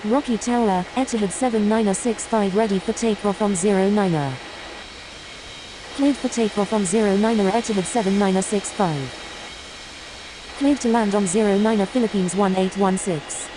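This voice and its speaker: A woman's voice: mid-range voice, normal speed, background noise, close to an everyday voice. A woman playing an airline pilot in a flight game, reciting procedural radio calls in a clipped manner. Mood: businesslike. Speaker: airline pilot on air traffic control radio. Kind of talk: scripted radio phraseology.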